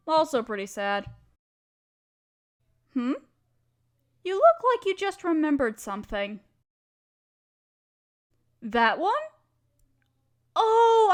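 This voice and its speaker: Romantic audio roleplay: affectionate teasing wife played by a woman, high voice, slow tender delivery for poetry and sweet talk. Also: heavily acted. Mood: fond nostalgia. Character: affectionate, teasing wife sharing love poems with her partner.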